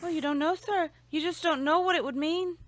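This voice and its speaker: A woman's voice: very high-pitched, fairly quick, slight background noise. A woman playing a timid, trembling young working-class English waitress in a radio drama, speaking deferentially. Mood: anxious. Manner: deferential, dialect grammar. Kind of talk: old-time radio drama acting.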